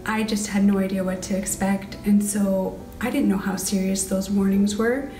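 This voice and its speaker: A woman with a dark, mellow voice and very flat intonation tells her story. She sounds distant in an echoey room, with faint guitar music. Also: medium pitch. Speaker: mother recounting a storm night. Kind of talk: candid personal storytelling.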